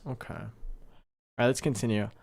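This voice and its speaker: A man's voice: medium pitch, steady tone, normal speed, gravelly, a little mellow. Man rambling through casual livestream reactions. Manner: rambling, thinking aloud, casual swearing. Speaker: livestream host reacting to a horror series.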